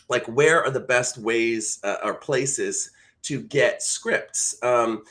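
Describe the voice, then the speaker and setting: A man's voice: mid-range voice, fairly bright tone. A man speaking as a coach and host, giving informal, explanatory instruction in a conversational way. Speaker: voice-over coach and live show host.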